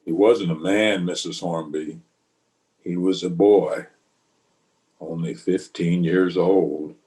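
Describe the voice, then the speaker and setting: A weary frontier lawman, played by a man in a radio western. The voice is on the low side, grave and plainspoken. He sounds burdened by conscience, with no bluster at all. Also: fairly even intonation, takes it slowly, warm tone. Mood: grave, earnest. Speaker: actor and narrator in an amateur radio play. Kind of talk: old-time radio western acting. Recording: voice recorded over an internet call.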